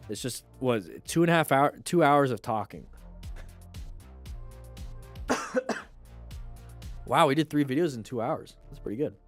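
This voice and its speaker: A man's voice: medium pitch, lively intonation, unhurried, long pauses. A congested man talks through a casual livestream with a stuffy, nasal voice. He rambles in loose asides between motorcycle clips and plugs a sale. Faint music plays behind him.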